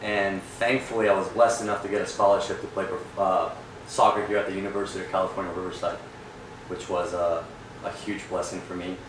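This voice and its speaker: A man's voice: sits low, little pitch movement, a little fast. A young man from Peru, a real estate agent guest, telling his story in an earnest, reflective way. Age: young adult. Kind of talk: casual interview. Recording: echoey room, far from mic, noisy.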